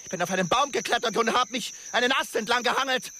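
A man's voice: very high-pitched, fast talker, sharp tone, heavily acted. An actor playing a tense, defiant man in a jungle outpost in a radio drama, talking tersely and conversationally. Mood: wary, defiant. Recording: faint jungle insect noise behind.

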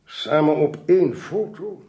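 An actor playing a shrewd, commanding male investigator in a radio play, brisk and dryly ironic. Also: on the high side, lively intonation, mellow, husky, lo-fi sound, exaggerated voice. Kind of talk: Dutch radio play acting.

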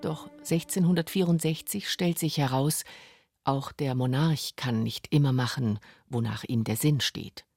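A woman's voice: a bass voice, measured pace, vocal fry, darkish tone, soft background music. A woman narrating a scripted radio documentary.

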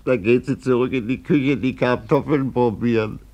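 An actor playing an old blind sea captain in a radio play, giving a chuckling, gossipy running commentary on the street. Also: male voice, medium pitch, normal speed, warm tone, muffled recording, natural voice.

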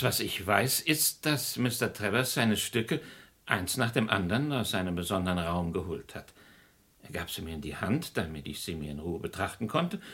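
A busy businessman in a radio crime drama. He is formal and obliging, with a fairly low voice that moves in lively swings while staying composed. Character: obliging, busy businessman, amateur art collector. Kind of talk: German radio crime play acting.

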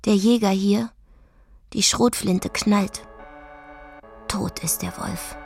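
An actress playing a fragile, lovelorn dreamer in a radio drama, halting and emotional. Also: female voice, mid-range voice, steady tone, creaky, a little mellow, faint music behind. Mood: dreamy.

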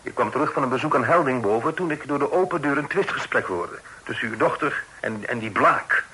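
An actor playing a courteous, earnest young gentleman in a period radio drama, explaining himself politely and formally. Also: mid-range voice, lively intonation, a little fast, breathy edge, poor audio. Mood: earnest, defensive. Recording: hissy old radio play recording.

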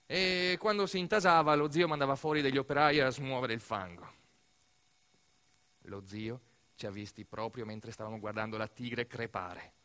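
An Italian man telling a theatrical monologue as a stage narrator, expressive and playful, with dramatic emphasis and deliberate pauses. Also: medium pitch, smooth.

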